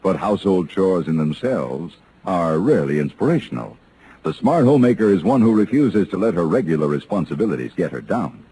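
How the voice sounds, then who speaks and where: A man's voice: deep voice, animated, a little fast, dark tone, slight background noise. A man announcing in scripted 1950s radio style, polished and declarative. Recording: old radio broadcast recording.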